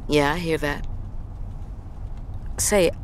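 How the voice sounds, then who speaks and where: A woman's voice: low-pitched, slow. An actress playing a tough, wry, guarded woman truck driver, speaking bluntly and naturally in an audio drama. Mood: guarded, casual. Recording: vehicle noise in truck cab.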